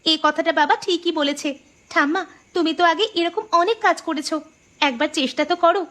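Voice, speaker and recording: A woman's voice: very high-pitched, a little fast, a bit bright. A woman voicing an eager young village girl in a cartoon. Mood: coaxing. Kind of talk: amateur cartoon voice acting.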